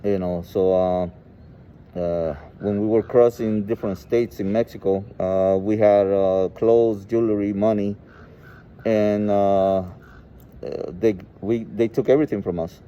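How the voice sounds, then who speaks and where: A man in his forties from El Salvador with a Salvadoran Spanish accent, telling his personal story informally and haltingly, often saying "you know". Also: lowish voice, fairly even intonation, mellow. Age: 40s. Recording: muffled, with clear background noise.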